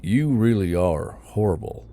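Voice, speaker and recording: Man voicing reserved, low-voiced practical soldier. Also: lively intonation, measured pace, dark tone, slight background noise. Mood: exasperated.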